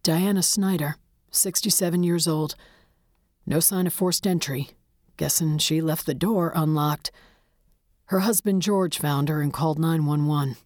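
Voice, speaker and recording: A woman's voice: deep voice, unhurried, darkish tone, no room sound, clean studio sound. A woman voicing a male police officer in an audiobook, giving a clipped, factual and uneasy briefing. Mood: subdued, factual.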